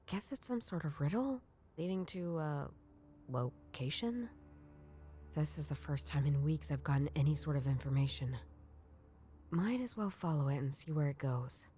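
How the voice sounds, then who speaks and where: Dark-voiced, even-toned young woman detective. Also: deep voice, moderate pace, a little hiss or hum. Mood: pensive. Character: wry young woman detective chasing grandpa's riddles. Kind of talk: scripted game voice-over, inner monologue.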